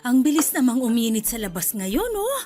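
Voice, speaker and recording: A woman's voice: fairly high pitch, very animated, normal speed. A woman with a Filipino accent playing a chatty, active middle-aged mother-in-law in a Tagalog radio drama. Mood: breezy, friendly. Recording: music bed behind the dialogue.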